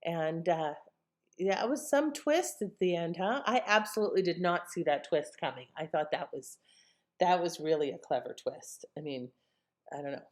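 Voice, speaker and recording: A woman's voice: on the low side, moderate pace, a little mellow. A woman casually commenting on an old radio episode she has presented, rambling a little with fillers.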